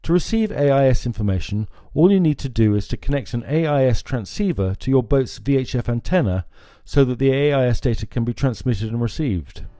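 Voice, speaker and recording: A man explains equipment in a steady, informative manner. His voice is mellow and lively in pitch. It is recorded dry and close on a lapel mic in a small indoor showroom. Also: fairly quick, few pauses, dry recording. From France. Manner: steady, informative, gestures at screens. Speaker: marine electronics presenter. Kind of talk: scripted product explainer.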